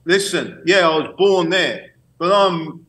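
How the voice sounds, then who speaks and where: A man in his fifties from Barbados, a guest on a video call, talking in a slow, halting, playful way. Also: high voice, expressive, a bit bright, rough recording. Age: 50s. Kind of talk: casual video-call chat.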